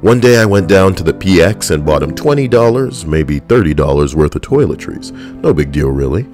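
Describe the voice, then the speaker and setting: A man's voice: sits low, animated, a little fast, darkish tone, faint music behind. A young adult man, a military veteran, telling a personal story in a blunt, profane, conversational way.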